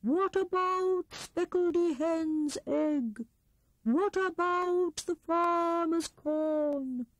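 A woman voicing a stern wise old male owl in a storybook, with drawn-out, hooting, disapproving words. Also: extremely high pitch, no room sound, pitched above the performer's own voice, full character voice, low-quality recording. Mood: stern, reproving.

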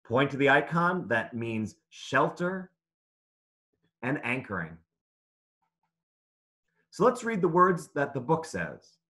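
A man's voice: medium pitch, big pitch swings, slow, long pauses, bright, forceful tone. A man reading aloud and teaching young children in simple, encouraging, instructive phrases during an online lesson. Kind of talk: interactive children's read-aloud lesson over video call.

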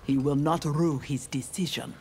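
Low-voiced, resolute young woman warrior played by an actress. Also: fairly even intonation, a little mellow, a little hiss or hum, lightly acted. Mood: earnest, formal. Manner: formal, measured, resolute. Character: proud, earnest young female armored ninja warrior. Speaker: video game fighter. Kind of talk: dramatic video game dialogue.

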